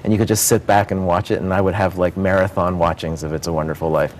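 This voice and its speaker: A man's voice: sits low, little pitch movement, fast talker, a little hiss or hum. A man speaking as a theater director in an interview, earnest and enthusiastic about his work. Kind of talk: promotional interview about a stage production.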